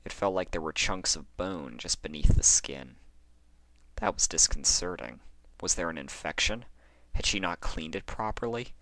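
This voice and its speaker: Low male narrator, bright crisp edge, dramatic horror storytelling, dry close sound. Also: normal speed, no room sound. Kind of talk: scripted narration of horror fan fiction.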